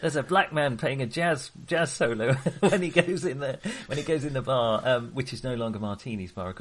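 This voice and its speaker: A British man co-hosts a casual film discussion in a husky, mid-pitched voice. His delivery is conversational and animated, with a wry edge to his commentary. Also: normal speed. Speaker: podcast co-host.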